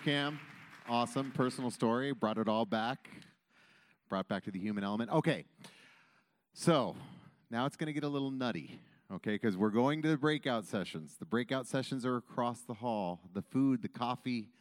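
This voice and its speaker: Man, conference emcee, upbeat, brisk, mid pitch, dry close sound. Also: no echo. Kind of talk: event announcements.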